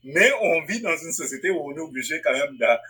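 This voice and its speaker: A man's voice: a little high, animated, fairly quick, bright, forceful tone. A man from Africa, a publisher and writer, answering interview questions in a thoughtful way, often restarting phrases as he searches for words. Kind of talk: interview guest. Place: Northwest Territories.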